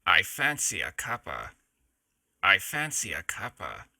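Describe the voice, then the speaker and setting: Sly posh British gentleman zombie. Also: low voice, huge pitch swings, a little slow, vocal fry, no echo, studio quality, voice pushed down, heavily acted. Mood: snide, weary. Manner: posh, snide, clipped exclamations.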